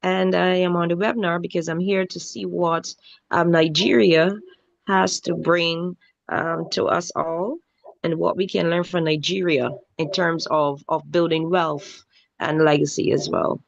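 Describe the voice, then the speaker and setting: Gravelly low-voiced woman from Barbados. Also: fairly even intonation, measured pace, dry recording. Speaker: webinar participant. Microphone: video call. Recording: dull lo-fi call audio.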